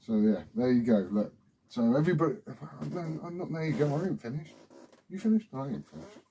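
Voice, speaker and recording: A British man with a husky voice rambling informally and swearing as he hosts a casual hobby vlog. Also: mid-range voice, lively intonation, brisk, mellow, a little hiss or hum, low-quality recording. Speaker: CB radio hobbyist video host. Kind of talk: casual rambling chat to camera.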